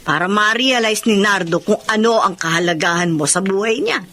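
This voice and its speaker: A woman's voice: medium pitch, moderate pace, bright, forceful tone, slight background noise, natural voice. A Filipino woman playing a protective, disapproving elder sister, firm and reproving, in radio drama style. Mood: firm, advising. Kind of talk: emotional radio drama acting.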